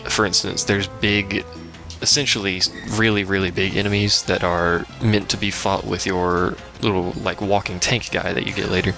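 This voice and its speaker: Man, low gravelly voice, casual gaming commentary, wry asides, music playing behind. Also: level tone, moderate pace. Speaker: let's play host. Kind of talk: casual live game commentary. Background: video game music.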